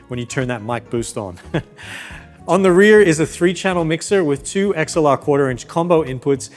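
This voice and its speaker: A man presenting an upbeat, clearly explained review and setup of a portable loudspeaker. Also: fairly high pitch. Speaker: product reviewer. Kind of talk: gear review and unboxing.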